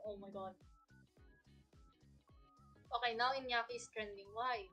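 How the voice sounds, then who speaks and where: A woman's voice: a little high, steady tone, measured pace, over music. A woman co-hosting a casual chat, hesitant at times and searching for words. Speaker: co-host.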